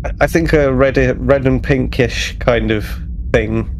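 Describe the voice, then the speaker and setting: A man's voice: medium pitch, steady tone, unhurried, gravelly, slight background noise. A man chatting casually as a player in a tabletop roleplaying game.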